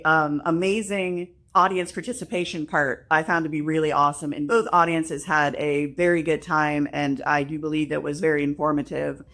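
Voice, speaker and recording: Low-voiced woman mixing script and asides. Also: fairly bright tone. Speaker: library assistant director. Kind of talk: reading prepared remarks with casual asides.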